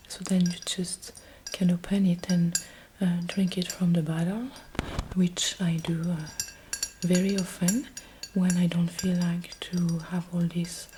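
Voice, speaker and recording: A woman with a French accent softly whispering an ASMR shop roleplay in a breathy, gentle way, as if serving a customer. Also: lowish voice, background noise. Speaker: ASMR host playing a beer shop assistant.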